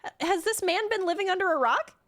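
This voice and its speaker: A woman game streamer giving casual, expressive live reaction commentary. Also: very high-pitched, quick pace.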